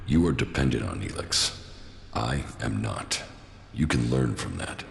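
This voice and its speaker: A man playing a gruff, stoic exiled military commander in a video game, speaking tersely and sternly with a gravelly voice. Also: animated, measured pace, reverberant, a little hiss or hum, exaggerated voice. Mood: firm, persuading. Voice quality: deep gravelly voice. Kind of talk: video game cutscene acting.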